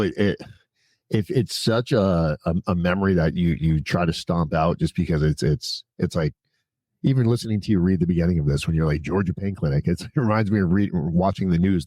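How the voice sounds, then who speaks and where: A man's voice: low voice, normal speed, warm tone, dry recording. A man speaking as a podcast guest, telling his story in a candid, conversational way with blunt, informal, casually sweary phrasing. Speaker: podcast guest, prison consultant.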